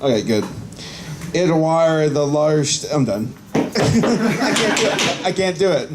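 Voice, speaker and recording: Higher-voiced man joking through a live reading. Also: expressive, takes it slowly. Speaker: panel guest reading aloud. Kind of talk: casual banter and mock-dramatic live reading. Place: indoor convention panel room. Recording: noticeable background noise of live event.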